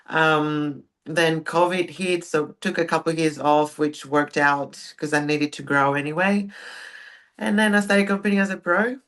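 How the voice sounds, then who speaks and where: A young woman from Greece, a pro bodybuilder speaking relaxed and soft-spoken as a podcast guest. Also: deep voice, unhurried. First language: Greek. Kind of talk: casual interview.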